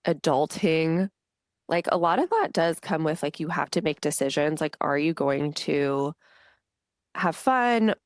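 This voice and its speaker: A woman guest on a podcast, talking in a chatty, animated way with lots of "like". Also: sits low, smooth, no room sound, studio quality. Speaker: podcast guest, business owner. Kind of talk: casual podcast conversation.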